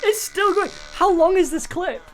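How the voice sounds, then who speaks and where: A woman's voice: very high voice, moderate pace, slight background noise. A woman chatting casually on a livestream as she edits, thinking aloud in a giggly, self-deprecating way. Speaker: audio drama producer and streamer. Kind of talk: casual livestream chat while editing.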